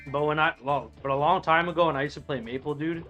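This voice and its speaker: A man's voice: a little high, fast talker, pushed and bright, soft background music. Rambling, cursing man in his 30s. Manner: rambling, profane, restarts sentences. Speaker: streamer playing an online game. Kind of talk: casual chat while reading viewer messages aloud.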